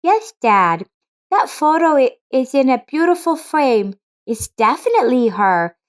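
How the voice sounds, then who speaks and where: A woman voicing an excited, insistent little girl in a narrated story, with an eager, emphatic delivery. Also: high-pitched, a little slow, voice pushed up.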